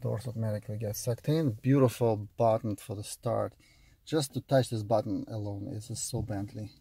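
A man in his forties with a Russian accent, casually showing off a car in a vlog, enthusiastic and halting with many fillers, filmed on a phone at arm's length inside a parked car. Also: fairly low pitch, fairly even intonation, vocal fry, dark tone. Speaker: car reviewer. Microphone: phone camera at arm's length.

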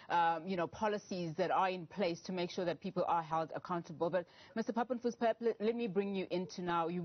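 A young woman anchors a TV news interview, her voice lower than most women's. She asks her questions in a measured, formal way. The studio sound is dry and slightly dull. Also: no echo.

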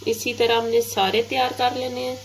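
A woman's voice: a little high, level tone, moderate pace, vocal fry, low-quality recording. A woman with a Pakistani Urdu accent, hosting a home cooking channel and talking viewers through a recipe step by step. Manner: step-by-step, addresses viewers directly. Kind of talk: home cooking tutorial voice-over. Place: home kitchen. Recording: hissy home recording.

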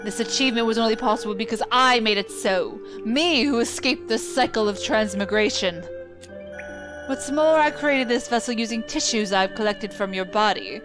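Woman doing a proud obsessive dead researcher from a game, kinda high voice, formal and theatrical, bit of music behind. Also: moderate pace, natural voice. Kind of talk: scripted video game voice acting.

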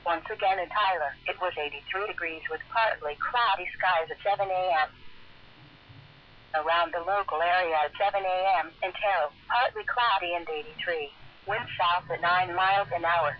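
A synthetic-sounding man reads scripted weather conditions over the radio with measured, impersonal delivery. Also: sits high. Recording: dull weather radio capture.

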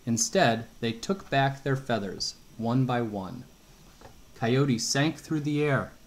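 Middle-aged man, slow expressive storybook read-aloud with questions to a child, animated pitch. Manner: unhurried, expressive, asks questions as he reads. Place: home kitchen.

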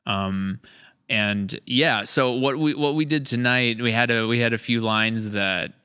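Bright, clear-voiced man hosting a podcast. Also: medium pitch, measured pace, no echo, studio quality. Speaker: podcast host. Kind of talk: casual post-session podcast chat. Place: large indoor studio room. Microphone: close boom-mounted studio mic.

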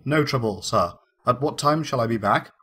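A man's voice: mid-range voice, moderate pace, bright, forceful tone, slightly rough. A man voicing a polite household servant who speaks deferentially.

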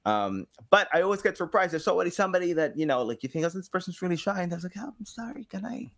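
A man in his thirties chatting casually as a podcast guest, telling stories in an animated way and acting out little quotes. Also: high-pitched, quick pace, creaky. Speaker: podcast guest, game studio engagement director and sound designer. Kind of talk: casual conversational storytelling. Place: small home room with guitars.

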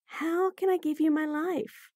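A woman's voice: extremely high pitch, steady tone, measured pace, darkish tone, no echo, clean studio sound. A woman voicing a bratty, flustered young bunny girl who stammers and giggles nervously in a roleplay audio.

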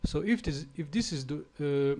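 A man from Slovenia with a Slovenian accent, speaking English as a second language in a halting screencast tutorial with fillers and restarts. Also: fairly high pitch, expressive, darkish tone. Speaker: web developer.

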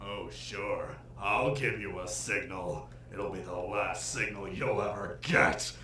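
A man playing a sarcastic, exasperated green alien warrior in an anime parody, with a gravelly, dry delivery. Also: deep voice, slow, pushed and bright, full character voice. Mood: deadpan, sarcastic. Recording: echoey, noisy playback in a room.

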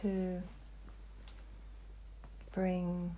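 A woman channeling in a hypnotic session, answering in halting, fragmented phrases with long pauses. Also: lowish voice, completely flat delivery, dark tone, slight background noise, poor audio. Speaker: client in a hypnotic healing session. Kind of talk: slow trance channeling, answering questions.

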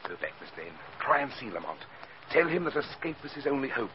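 Casting: man as smooth, scheming American villain, very expressive, 1940s radio drama on dull old tape. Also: mid-range voice, fast talker, lightly acted. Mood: earnest, urgent. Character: scheming, smooth-talking male villain posing as a helpful friend.

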